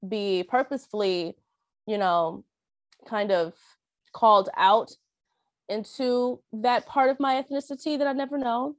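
A young woman talks through her experiences slowly and thoughtfully, pausing often with fillers and restarts. Her voice sits in a middle range and sounds close and dry, with no room around it. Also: mid-range voice, no echo. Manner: hesitant, many fillers and restarts. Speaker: interviewee. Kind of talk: reflective personal account.

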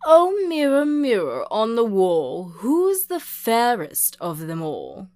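A woman voicing a vain, imperious countess in a gothic horror story, with grand, commanding, drawn-out calls. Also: a little high, huge pitch swings, darkish tone, voice pushed up, heavily acted. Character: imperious vain countess, doting on her pet.

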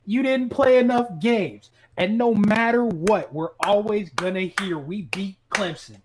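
A man with a fairly high voice co-hosts a casual sports debate. He is insistent and slangy, circling back to repeat his point as he argues. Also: unhurried, background noise. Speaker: sports podcast co-host. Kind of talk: casual, argumentative sports chat.

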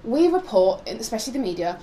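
A woman's voice: a little high, big pitch swings, fast, dark tone. A young woman from Poland in a casual, opinionated discussion of current affairs, talking informally and rambling a little. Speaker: co-host. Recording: noticeable background noise.

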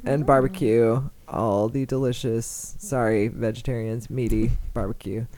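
A woman's voice: rumbling low, fairly even intonation, measured pace, mellow, dry recording. A woman co-hosting a casual podcast chat, blunt and joking, swearing freely. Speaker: podcast co-host.

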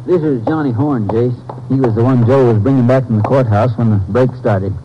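A man's voice: medium pitch, a little fast, natural voice. An actor playing a folksy, fatherly American sheriff, easygoing and reassuring, in 1950s radio drama style. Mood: kindly. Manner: easygoing, kindly. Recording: noisy, muffled old radio recording.

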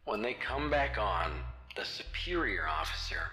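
A man's voice: lowish voice, very animated, unhurried. A man narrating a scripted horror comedy audio drama. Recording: roomy amateur recording. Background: music.

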